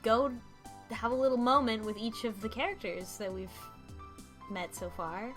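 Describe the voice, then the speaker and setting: A woman's voice: fairly high pitch, moderate pace. Woman casually recapping, faint music behind. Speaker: podcast co-host recapping the plot. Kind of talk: casual recap and discussion of a game's story.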